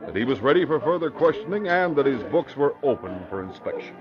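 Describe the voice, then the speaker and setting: An actor playing a wary, plain-spoken middle-aged police commissioner in 1940s radio style, measured and matter-of-fact. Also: male voice, medium pitch, sing-song, over music, lightly acted. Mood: wary, explaining. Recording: muffled old radio broadcast.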